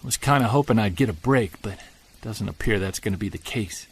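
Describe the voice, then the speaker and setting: An actor playing a wistful separated man who hunts Bigfoot, narrating his audio-drama field journal in a creaky, conversational, earnest voice. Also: fairly low pitch, fairly quick. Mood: relaxed.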